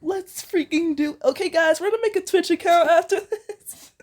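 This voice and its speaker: A woman's voice: very high voice, normal speed, darkish tone. A young woman reading fan fiction aloud casually, giggly and often breaking off into banter. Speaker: amateur fan fiction reader and co-host.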